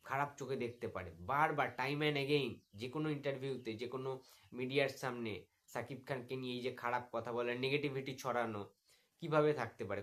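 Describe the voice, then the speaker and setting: A man's voice: medium pitch, a bit bright. A man with a Bengali accent delivering an indignant, mocking rant as a video commentator, full of rhetorical questions. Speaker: YouTube commentator making a reply video. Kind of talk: opinionated rant addressed to the camera.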